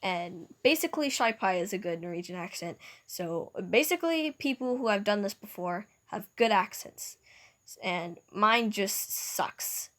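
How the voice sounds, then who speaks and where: A woman narrates a homemade second-person fan story. She talks unhurriedly, often catching herself and starting lines over. Her voice sits at a middle pitch. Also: expressive, a bit bright. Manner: halting, with stumbles and restarts. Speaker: storyteller and video maker. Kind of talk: reading an interactive fan story aloud.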